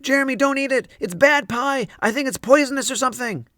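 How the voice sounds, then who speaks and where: A man voicing an earnest, excitable young boy in a storybook, eager and pleading. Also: very high voice, brisk, no echo, clean studio sound, voice pushed up. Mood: panicked. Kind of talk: storybook character voice.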